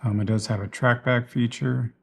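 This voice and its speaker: A man's voice: medium pitch, fairly even intonation, normal speed, gravelly. A man giving a casual, unscripted walkthrough of his gear in a plain, explanatory way, like a vlogger. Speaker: vlogger presenting personal radio gear.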